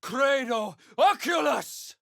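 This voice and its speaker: An actor playing a male spellcaster in a video game, chanting forceful arcane incantations in a declamatory way. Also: very high voice, expressive, takes it slowly, creaky, no echo, studio quality, exaggerated voice. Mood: commanding, fierce.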